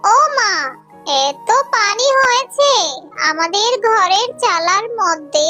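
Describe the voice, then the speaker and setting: A performer voicing a frightened, pleading little girl fox cub in a children's cartoon, with plaintive, drawn-out appeals. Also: very high-pitched, expressive, pushed and bright, soft background music, full character voice.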